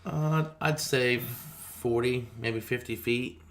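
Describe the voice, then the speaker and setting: A man's voice: mid-range voice, fairly even intonation, takes it slowly, a bit bright. A man speaking as a firefighter in an interview, recounting his own experience in a plain, understated way.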